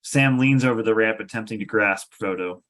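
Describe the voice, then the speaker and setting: Low-voiced man narrating a script. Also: normal speed, fairly bright tone. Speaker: narrator reading stage directions. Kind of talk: scripted narration at a table read.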